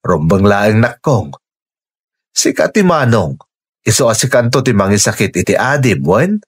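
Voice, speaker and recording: An actor playing a gentle, caring father and husband in an Ilocano radio drama, speaking in an earnest, tender and measured way with an Ilocano accent. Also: lowish voice, very animated, breathy edge. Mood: fatherly tenderness.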